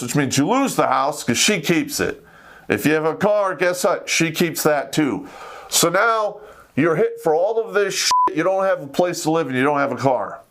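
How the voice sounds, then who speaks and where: A man's voice: fairly high pitch, fairly bright tone. An American man hosting a talk show, ranting in a blunt, profane, conversational way. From United States. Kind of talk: live opinionated rant to camera.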